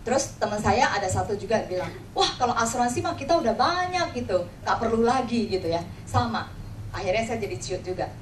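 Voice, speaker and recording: A woman's voice: fairly high pitch, expressive, a little fast. A woman with a Jakarta Indonesian accent gives an informal motivational talk as an agency leader, telling personal anecdotes conversationally and often checking for agreement. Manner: conversational, acts out quoted dialogue, frequent tag words seeking agreement. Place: indoor room before an audience. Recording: echoey room, distant mic, noisy background.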